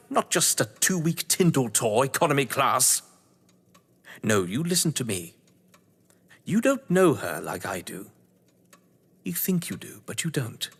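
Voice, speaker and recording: A man's voice: mid-range voice, husky, long reverb tail. A man playing a suave, scheming, condescending English crime novelist in a theatrical audio drama, with wry, florid delivery. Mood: condescending, insistent. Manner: pompous, wry, florid phrasing.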